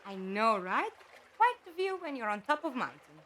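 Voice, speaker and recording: A woman acting in an audio drama as a practical, inquisitive captive who talks in a direct, conversational, gently wry way. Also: fairly high pitch, big pitch swings, moderate pace, a little hiss or hum. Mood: animated.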